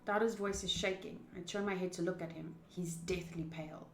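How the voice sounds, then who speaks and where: A young woman with an expressive, chatty manner, reading a visual novel aloud and commenting as she plays. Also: on the low side, a little fast. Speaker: streamer playing a visual novel. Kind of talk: gaming commentary, reading story text aloud. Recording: roomy, echoing voice.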